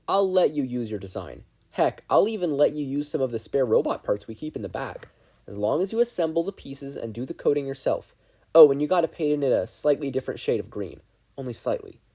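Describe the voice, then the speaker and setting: Man playing a frank, lively mentor with husky voice. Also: medium pitch, fairly quick, pitched above the performer's own voice. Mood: warm, encouraging. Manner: frank, encouraging, folksy. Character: gruff, kindly male hero teacher and engineer. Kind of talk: audiobook character voice.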